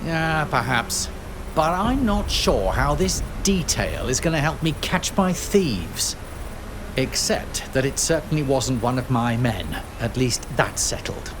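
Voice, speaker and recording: An actor playing a gruff, suspicious foreman with a curt, dismissive manner in a video game. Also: medium pitch, sing-song, slow pace, background noise. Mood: sceptical, dismissive. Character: gruff, sceptical male foreman suspicious of natives. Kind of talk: video game character acting.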